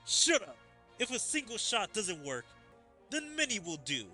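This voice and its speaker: A man voicing a brash, hot-headed game fighter who taunts in a cocky shout. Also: sits high, very animated, unhurried, pitched above the performer's own voice, heavily acted. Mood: aggressive taunting. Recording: game music behind the voice.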